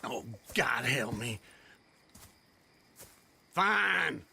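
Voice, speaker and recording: An actor playing a sly, lazy old man in a game cutscene, joking and wheedling with a gravelly voice. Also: medium pitch, sing-song, extremely slow, pushed and bright, exaggerated voice. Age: older. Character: lazy old male camp loafer, sly liar. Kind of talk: video game cutscene acting.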